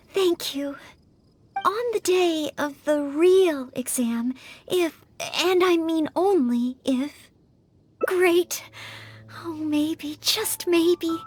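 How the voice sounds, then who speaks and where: Young maid-knight, very high voice, slow, polite, earnest, anime game style. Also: female voice, expressive, exaggerated voice. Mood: shy, grateful. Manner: polite, earnest, careful phrasing.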